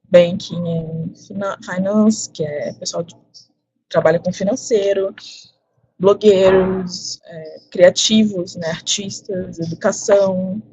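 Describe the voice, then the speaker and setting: A woman demonstrating a software platform on a webinar screen share, reading out lists in an explanatory, casual way. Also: medium pitch. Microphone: video call. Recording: dull lo-fi audio.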